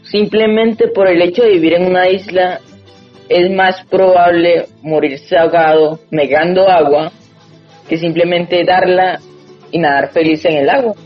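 A man's voice: high-pitched, fairly even intonation, unhurried. A man from Venezuela gives a hesitant personal testimony on community radio, often restarting his sentences. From Venezuela, Margarita island. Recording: dull lo-fi recording with music under.

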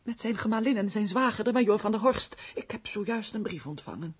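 An actress playing a gentle, melancholy castle housekeeper in a Dutch radio play, speaking in an earnest, refined, pleading manner. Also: female voice, mid-range voice, animated, brisk, husky. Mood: polite, composed.

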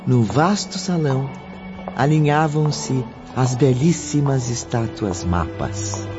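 Homem como narrador brasileiro de radionovela, bem pausado. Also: male voice, medium pitch, lightly acted. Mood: solemn, dramatic. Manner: dramatic, deliberate. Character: solemn storyteller addressing the radio listener. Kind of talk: radio drama scripted narration. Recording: dull lo-fi audio under music.

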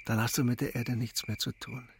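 An actor playing a calm, wise older shopkeeper and mentor in a radio play, speaking deliberately and philosophically. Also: male voice, mid-range voice, gravelly, slight background noise, close to an everyday voice. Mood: calm, contemplative.

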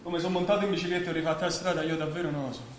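An actor playing an indignant homeless man in Italian theatre, agitated and declamatory, swinging between hushed talk and shouts. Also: a little high, fairly even intonation, racing, a little hiss or hum, lightly acted. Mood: breathless, bitter. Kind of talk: theatrical Italian stage acting. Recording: very echoey stage hall recording.

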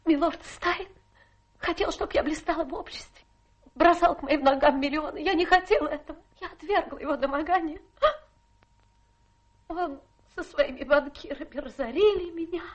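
A woman's voice: high-pitched, normal speed, dull audio, close to an everyday voice. An actress playing a self-pitying adventuress who poses as a tragic fallen lady in a radio play, melodramatic, plaintive and coquettish. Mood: tearful, desperate. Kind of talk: theatrical radio play acting.

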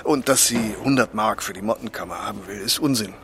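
A man's voice: fairly low pitch, a little fast, close to an everyday voice. An actor playing a brash, breezy, chummy man-about-town who talks bluntly and confidingly in a radio play. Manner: chatty, abrupt, confiding.

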